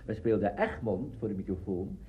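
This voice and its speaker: Animated older man reminiscing, dull recording. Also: on the low side, moderate pace. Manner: animated, conversational. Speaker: interviewee, veteran radio actor. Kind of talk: anecdotal reminiscence. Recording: dull archive interview recording.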